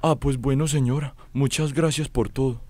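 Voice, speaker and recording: A man acting a mellow, flustered country lad. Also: medium pitch, steady tone, normal speed, no echo, close to an everyday voice. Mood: pleading, polite. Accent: Colombian, Antioquia. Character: naive, flustered young country man seeking his father. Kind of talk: radio drama acting.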